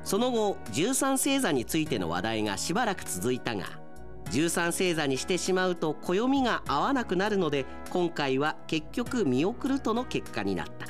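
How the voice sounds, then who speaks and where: Animated male radio drama narrator. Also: fairly high pitch, moderate pace, faint music behind. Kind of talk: dramatic comic scripted narration.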